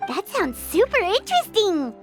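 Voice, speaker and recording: Mascot role: tiny chirpy game fairy, extremely high, squeaky, bouncy range, music behind. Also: female voice, huge pitch swings, normal speed, exaggerated voice. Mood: curious. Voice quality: squeaky, childlike. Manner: exclaiming, refers to self in third person. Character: tiny childlike fairy companion, chirpy, curious, excitable. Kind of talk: cartoonish video game voice acting.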